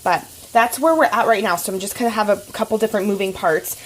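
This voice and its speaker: A woman's voice: medium pitch, quick pace, rough recording. A woman with a cold talks her way through a casual cooking vlog in her home kitchen. She rambles and fills gaps with ums. Faint frying noise sits behind her voice. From Oregon. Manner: chatty, rambling with many ums. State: has a cold. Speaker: fitness vlogger.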